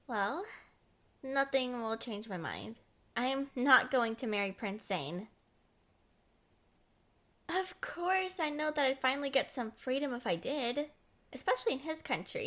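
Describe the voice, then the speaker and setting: A young woman acting a playful, headstrong, lovestruck princess in an expressive roleplay audio. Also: high-pitched, full character voice. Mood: defensive, resolute. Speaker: voice actor.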